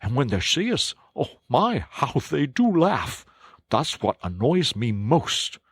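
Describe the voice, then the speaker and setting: A man's voice: mid-range voice, big pitch swings, slow, slightly rough, no echo, pitched above the performer's own voice, exaggerated voice. A fretful little mole, worked up and aggrieved, his voice pushed well above the reader's own and recorded dry and close as a man acts him out. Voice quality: raised, agitated, complaining. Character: fretful, complaining male mole. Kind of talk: audiobook character voice.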